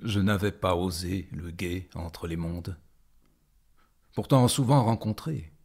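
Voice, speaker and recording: A man reciting poetry in a dramatic style, phrasing deliberately with frequent pauses and a husky voice. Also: deep voice. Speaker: poetry performer.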